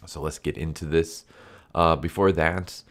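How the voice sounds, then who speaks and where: Very low-pitched man, congested nasal voice, loose rambling comic review talk. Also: steady tone, measured pace, a bit bright. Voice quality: nasal, stuffy. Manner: rambling, full of fillers and restarts. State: congested from illness.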